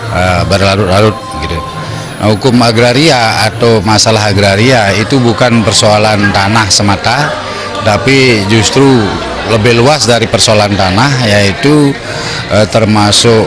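A man answers an interviewer in halting phrases. His voice is fairly low, with clear music underneath. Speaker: law faculty dean being interviewed. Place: auditorium. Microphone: handheld interview mic.

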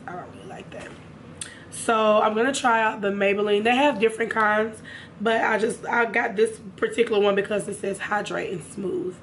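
A young woman with a raspy, hoarse voice, chatting casually to her viewers about makeup in a room at home. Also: mid-range voice, fairly even intonation, normal speed, slight background noise. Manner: chatty, addresses viewers directly. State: hoarse from a sinus infection. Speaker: beauty vlogger. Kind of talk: casual makeup haul chat.

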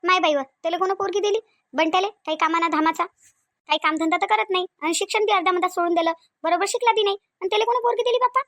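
Woman playing a shrill, scolding Varhadi mother-in-law. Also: racing, a bit bright, dry recording, clean studio sound. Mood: disapproving. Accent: Varhadi Marathi from Vidarbha. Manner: nagging, sarcastic, full of rhetorical questions. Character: domineering, miserly, scolding rural mother-in-law. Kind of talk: cartoon comedy dialogue.

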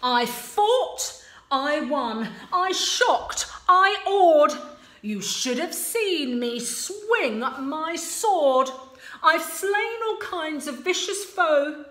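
A woman's voice: high voice, animated, very slow, fairly bright tone, echoey room, pitched above the performer's own voice, heavily acted. A woman voicing a pompous, boastful young prince who bosses everyone around.

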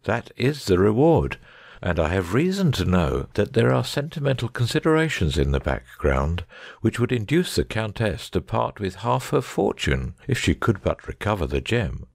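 Guy playing a cool precise detective in an audiobook, gravelly low voice, dry close sound. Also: big pitch swings, moderate pace, darkish tone, no echo, full character voice. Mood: precise, intent. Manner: precise, assured, didactic. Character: brilliant, self-assured male detective, coolly precise. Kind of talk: dramatic audiobook reading.

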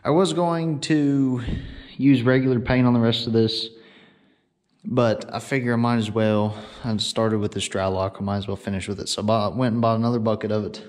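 A man with a mid-pitched voice talks casually through a DIY job. He is plain-spoken and laid-back, speaking to an action camera in a bare block-walled basement garage. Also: fairly even intonation, moderate pace, darkish tone. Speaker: DIY vlogger. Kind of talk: casual talk to camera while working.

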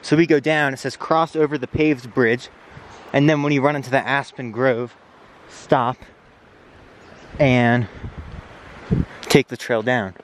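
A man's voice: mid-range voice, fairly even intonation, measured pace, long pauses. A young man talking casually to his handheld camera while hiking outdoors in a mountain forest. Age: young adult. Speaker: vlogger. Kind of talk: casual travel vlog. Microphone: handheld camera at arm's length. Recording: noisy outdoor handheld recording.

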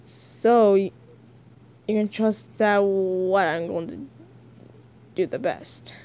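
A woman acting out a sinister comic-book villain with a hushed, lowered voice. The villain is calm and coaxing, moving slowly and haltingly through each manipulative line. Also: lightly acted.

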